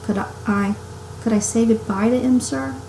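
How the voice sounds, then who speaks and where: A woman voicing a huge, shaggy, soft-hearted male giant in a storybook, deferential and emotional, with simple rustic phrasing. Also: on the high side, steady tone, normal speed, creaky, roomy sound, a little hiss or hum. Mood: timid.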